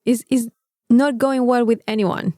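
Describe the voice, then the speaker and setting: Mellow Mexican woman chatting candidly on podcast. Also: fairly high pitch, steady tone, unhurried, no room sound, clean studio sound. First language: Spanish. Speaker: podcast co-host. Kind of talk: casual podcast banter.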